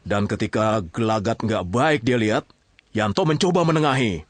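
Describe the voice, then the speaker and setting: A man's voice: on the low side, normal speed. A man narrating a radio drama as a colloquial storyteller, chatty, theatrical and teasing.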